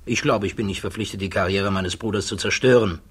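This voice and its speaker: An actor playing a principled, quietly defiant physicist under interrogation, speaking deliberately and precisely in the style of 1960s German radio drama. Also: male voice, lowish voice, breathy edge. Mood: measured. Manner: deliberate, precise, formally articulate.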